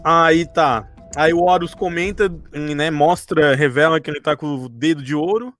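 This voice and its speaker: High-voiced Brazilian man recapping a story. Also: normal speed, fairly bright tone, faint music behind. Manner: informal, rambling storytelling. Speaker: podcast co-host and game master recapping a tabletop campaign. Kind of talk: casual recap chat among friends.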